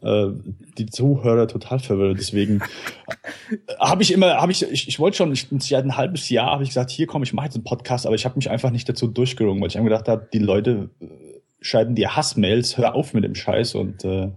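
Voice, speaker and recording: Husky, rambling male podcast guest. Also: medium pitch, fairly quick, a little mellow. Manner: rambling, self-deprecating, long nested sentences. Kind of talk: casual chat.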